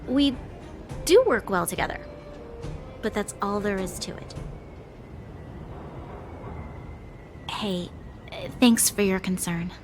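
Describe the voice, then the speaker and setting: A dutiful young woman officer from a video game. She speaks at a medium pitch, formal and reserved, choosing her words with care. Background music is clearly audible under her. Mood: reserved, polite. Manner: composed, reserved, precise. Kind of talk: video game character acting.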